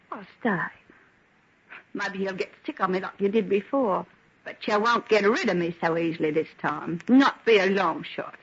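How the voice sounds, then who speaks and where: A woman's voice: medium pitch, slightly rough. An actress playing a bitter, wronged working-class young woman with a sardonic, defiant manner in an old-time radio drama. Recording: dull, lo-fi vintage radio recording.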